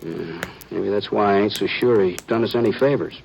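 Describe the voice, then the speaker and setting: A man's voice: lowish voice, moderate pace, creaky, a little mellow. An actor playing a chatty, folksy small-town lawman in an old-time radio western, with an American accent and a musing way of talking. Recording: muffled, noisy vintage radio recording.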